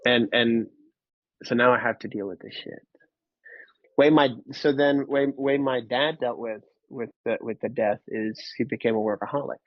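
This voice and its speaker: A man, telling his personal story in an interview, candid and halting, often repeating words. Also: mid-range voice, steady tone, dry recording, highs cut off. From Finland. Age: 30s. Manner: candid, casually profane. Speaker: podcast guest.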